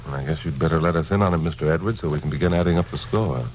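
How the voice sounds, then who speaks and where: An actor playing a wry, hardboiled newscaster detective with a breezy, clipped delivery in old radio drama style. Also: male voice, a bass voice, racing, a little hiss or hum. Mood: businesslike. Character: wisecracking hardboiled newscaster detective narrating his case. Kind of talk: 1950s radio detective drama acting and narration. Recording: old radio recording, music behind.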